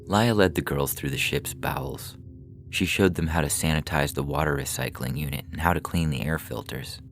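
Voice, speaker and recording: A man's voice: a bass voice, normal speed. A man reading a short story in a scripted, dramatic narrating style, as on a fiction podcast. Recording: music behind the voice. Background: music.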